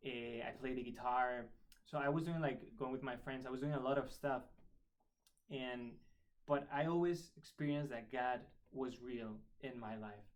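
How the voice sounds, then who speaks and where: A young man in his twenties from Spain talks in a relaxed, friendly way. He has a Spanish accent, and English is not his first language. He often repeats and restarts words as he chats through an interview. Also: mid-range voice, moderate pace. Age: 20s. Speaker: interviewee, member of a religious order. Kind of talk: casual interview chat. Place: school in Ireland.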